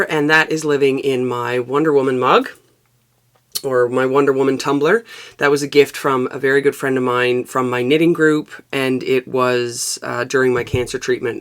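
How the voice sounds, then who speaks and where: A woman, hosting a knitting vlog in relaxed, rambling chat. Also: very deep voice. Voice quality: raspy. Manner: relaxed and rambling, with frequent fillers. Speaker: knitting podcast host. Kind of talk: casual chatty knitting vlog. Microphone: external microphone.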